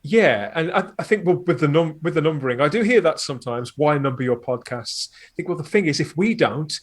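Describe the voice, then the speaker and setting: A man's voice: a little high, lively intonation, a little fast. A man guesting on a podcast, talking casually and discursively, often interrupting his own sentences.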